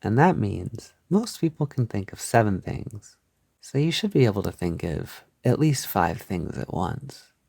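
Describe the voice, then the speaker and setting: A man leading a soft-spoken guided hypnosis, soothing and reassuring, gently repeating his phrases on a close mic. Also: lowish voice, sing-song, unhurried, vocal fry, no echo. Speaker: hypnosis audio creator guiding the listener.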